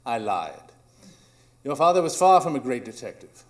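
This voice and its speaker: A man's voice: mid-range voice, big pitch swings, moderate pace, lightly acted. A man playing a brilliant, coolly analytical detective with a formal, precise manner in a live radio drama. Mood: coolly deductive. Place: small improv theatre stage.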